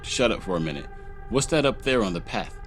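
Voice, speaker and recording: A man voicing a jaded, sarcastic little fairy-world sidekick in a comic dub, with dry deadpan delivery. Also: fairly low pitch, fairly quick. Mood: wary. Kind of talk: comic fan-dub voice acting.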